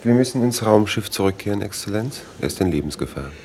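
An actor playing a precise, officious secretary who reports on a diplomatic mission in a detached way in a 1950s radio play. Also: male voice, lowish voice, expressive, fast talker, darkish tone, slight background noise. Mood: urgent. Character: dry, correct secretary recording a diplomatic mission.

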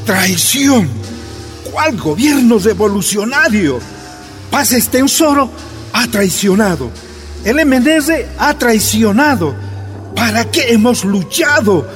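Bolivian miner dad in a radio soap, fiery and stern, really high for a man, emphatic. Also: very animated, slow pace, a bit bright, soft background music, exaggerated voice. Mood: indignant. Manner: emphatic, declamatory. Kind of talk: radio drama acting.

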